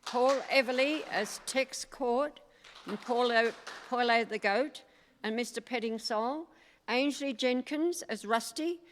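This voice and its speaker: Woman announcer reading credits, unhurried highish voice, echoey hall. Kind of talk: reading scripted cast credits. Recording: echoey hall, faint noise.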